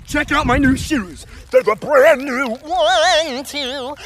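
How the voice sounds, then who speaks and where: High voice changer effect doing a slow meme chant w music behind it. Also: a bit bright, low-quality recording. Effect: pitch-shifting voice changer.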